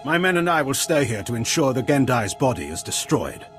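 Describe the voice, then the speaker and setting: A man's voice: mid-range voice, big pitch swings, brisk, natural voice. Casting: man as ruthless, formal military admiral in a sci-fi game, widely swinging intonation, score underneath. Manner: formal, authoritative, haughty. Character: haughty male imperial admiral, commanding and ruthless. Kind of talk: video game cutscene acting. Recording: game music behind the voice.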